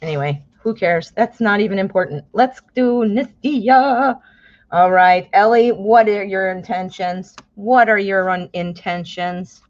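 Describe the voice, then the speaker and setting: A woman's voice: medium pitch, a little slow. An American woman reads tarot cards live for her viewers, chatting easily and dropping endearments as she goes. She bursts into exclamations when a card surprises her. The stream audio is a little dull and lo-fi. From United States.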